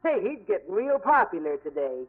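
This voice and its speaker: A woman's voice: mid-range voice, big pitch swings, a little fast. A woman playing a nosy, brusque rooming house manager in 1950s radio drama, suspicious and chatty. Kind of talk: 1950s radio character acting. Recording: vintage narrow-band radio.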